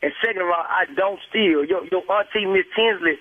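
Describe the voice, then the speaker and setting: High-voiced indignant man on a muffled phone. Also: a little fast, no echo. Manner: blunt, indignant, repetitive. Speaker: prank call victim. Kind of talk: heated phone argument. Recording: muffled, noisy phone line with bleeps.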